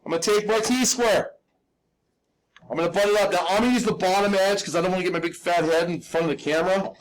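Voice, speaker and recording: A man with a high, lightly raspy voice walks students through a drawing task step by step. He is informal and self-deprecating, talking like a hands-on teacher and often pausing to check in. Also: poor audio. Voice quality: slightly raspy. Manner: instructional, checks in often with "okay?". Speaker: drafting teacher. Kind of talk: live step-by-step hand drawing demonstration.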